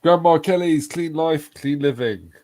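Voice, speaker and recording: A man's voice: a little high, unhurried, darkish tone, dry recording. A man in his forties hosting a casual live-stream chat, reading out messages from viewers and laughing along with the jokes. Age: 40s. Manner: banters and reads chat messages aloud, laughing often. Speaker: host.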